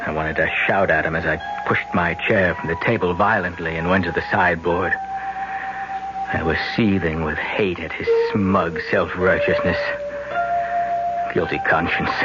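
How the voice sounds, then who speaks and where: An actor playing a resentful, desperate young American man with a bitter, sullen manner in a 1970s radio drama. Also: sits low. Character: resentful, desperate twenty-four-year-old son, bitter gambler. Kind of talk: 1970s radio drama acting and first-person narration. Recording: dull, very noisy old radio recording.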